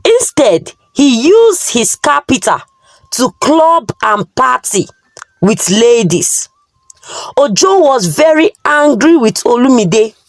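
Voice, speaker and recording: A Nigerian-accented woman telling a moral folktale in short, deliberate phrases. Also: high voice, very animated, no room sound. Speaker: storyteller.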